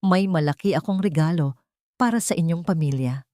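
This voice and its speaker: Woman as a low-voiced, coldly vengeful rich woman. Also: normal speed, no echo, studio quality, lightly acted. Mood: quiet menace. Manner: soft, controlled, menacing. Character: cold, powerful, vengeful wealthy woman.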